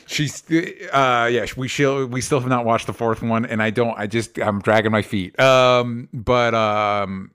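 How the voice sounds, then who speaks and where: A man's voice: mid-range voice, fairly even intonation, measured pace, hardly any pauses, fairly bright tone, smooth. Casual man chatting about movies. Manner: informal, profane, repeats phrases. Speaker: co-host of a movie news show.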